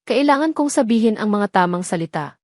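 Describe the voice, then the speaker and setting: A woman's voice: mid-range voice, expressive, a little fast, darkish tone, no room sound, clean studio sound. A woman with a Filipino accent reads a scripted romance story as a narrator, in a sentimental storytelling way.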